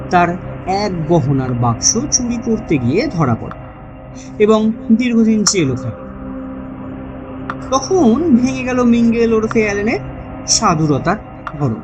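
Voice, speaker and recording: Woman narrator, lively swooping pitch, dramatic mystery storytelling, deliberate phrasing, music underneath. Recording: music bed behind the voice. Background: background music.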